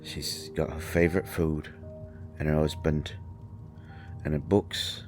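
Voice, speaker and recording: Man acting a deep, slow-spoken grieving fairy husband. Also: little pitch movement, music in the background. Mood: wistful, grieving. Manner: halting, emotional, swinging from snappish outbursts to quiet murmurs. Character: grieving fairy husband, gruff, defensive and wounded. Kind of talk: roleplay audio drama monologue.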